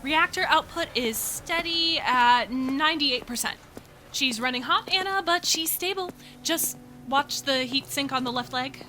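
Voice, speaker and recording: A woman's voice: high-pitched, a little slow, pushed and bright, a little hiss or hum. A woman playing a careful, worrying young engineer in a science fiction audio drama. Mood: concerned, brisk.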